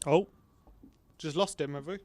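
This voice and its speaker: A man's voice: fairly high pitch, measured pace, warm tone. A man hosting a fan chat over a video call, chiming in with short prompts. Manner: brief prompting interjections.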